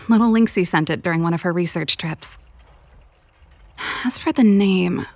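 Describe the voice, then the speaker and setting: A woman voicing a playful, teasing young big-sister inventor and musician in a video game, casual and expressive. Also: fairly low pitch, a little slow, no room sound. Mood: playful, coaxing.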